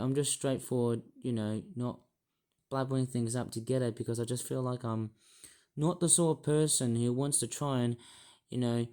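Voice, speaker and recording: A man talks straight to his channel in a croaky, hoarse voice that sits at an ordinary middle pitch. He rambles and repeats himself, circling back with hesitant restarts as he works through his story. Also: dark tone. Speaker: YouTuber. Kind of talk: rambling personal vlog monologue.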